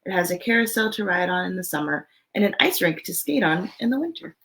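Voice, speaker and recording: Creaky-voiced woman reading a children's story. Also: lowish voice, sing-song, fast, a bit bright, a little hiss or hum. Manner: child-directed, simple, emphatic storytelling with chatty asides. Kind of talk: expressive picture-book read-aloud with casual asides.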